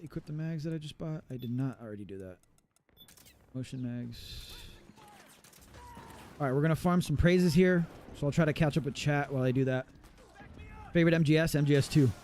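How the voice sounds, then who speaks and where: A man's voice: on the high side, warm tone. Laid-back, cursing male streamer. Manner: casual, profane, rambling. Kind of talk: live gameplay commentary.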